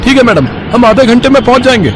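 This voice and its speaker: A man's voice: very high-pitched, expressive, fast, a crowd in the background, background noise. A man playing a humble, helpful middle-aged auto-rickshaw driver in a cartoon story, speaking deferentially and reassuringly with some hesitation. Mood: polite.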